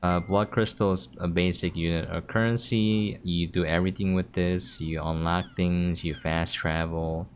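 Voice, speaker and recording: A man's voice: sits low, little pitch movement, moderate pace, hardly any pauses, noisy background. A man giving casual commentary as he hosts a gameplay preview. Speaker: game preview host. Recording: music behind the voice. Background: game music.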